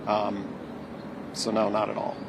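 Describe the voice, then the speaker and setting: A man's voice: on the low side, monotone, a little slow, vocal fry, background noise. A man speaking to the media outdoors, hesitant with stumbling restarts. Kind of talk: press interview.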